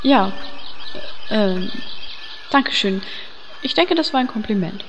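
A woman's voice: mid-range voice, animated, slow pace, long reverb tail, a little hiss or hum. A woman playing a vain, brazen, assertive adventurer with a haughty, sassy manner in a comic audio drama. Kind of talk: comic radio play parody acting.

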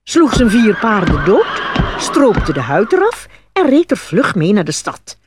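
A woman reading scripted fairy-tale narration as an expressive storyteller. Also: mid-range voice, unhurried, breathy edge.

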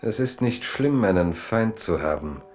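A male narrator for a radio drama, pitched deep. He reads literary prose as a storyteller would. The delivery is measured and dramatic. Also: lively intonation, a little mellow, soft background music.

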